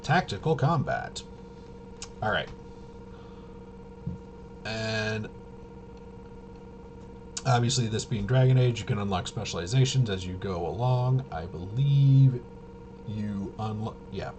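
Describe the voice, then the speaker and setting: A man with a fairly low voice talks casually through game rules as a reviewer. He is halting and mumbles as he half-reads. The recording has clear noise behind him. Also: animated. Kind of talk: casual off-the-cuff rules walkthrough. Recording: clearly noisy home recording.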